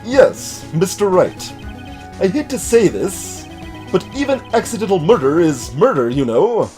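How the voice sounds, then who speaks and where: A man voicing a grave older lawyer in a video game, speaking in a measured, formal way. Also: sits high, sing-song, a little mellow, soft background music. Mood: reluctant, formal. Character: grave, formal older male lawyer recounting old cases.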